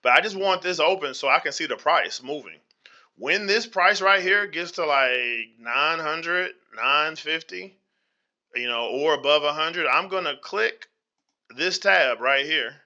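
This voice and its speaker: A slightly hoarse man hosting a casual screen-share tutorial, talking straight to his viewers, often asking if they hear him, and drifting into preacher-like faith talk. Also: a little high, animated, a little slow, sharp tone. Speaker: channel host. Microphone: voice-over to screen recording. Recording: dull lo-fi audio.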